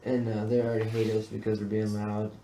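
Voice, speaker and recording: A man's voice: lowish voice, completely flat delivery, a little fast. A man casually telling stories to his friends in slangy, sweary bro talk. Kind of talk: casual storytelling among friends. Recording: echoey room, clearly noisy.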